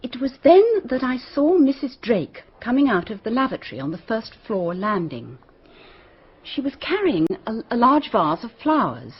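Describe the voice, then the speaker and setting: Husky, prim English schoolmistress. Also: female voice, fairly high pitch, very animated, moderate pace, lo-fi sound. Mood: recounting, uneasy. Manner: defensive, clipped, proper. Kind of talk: radio drama acting.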